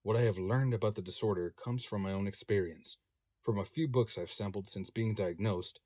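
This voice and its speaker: A man's voice: lowish voice, moderate pace, darkish tone, dry recording, studio quality. A man reading scripted audiobook narration as a voice-over narrator.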